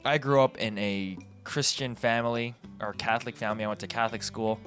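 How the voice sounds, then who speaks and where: A man's voice: medium pitch, steady tone, fairly quick, a bit bright. Casual Canadian man over guitar music. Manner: informal, digressive, self-correcting. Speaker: video host. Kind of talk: casual rambling commentary.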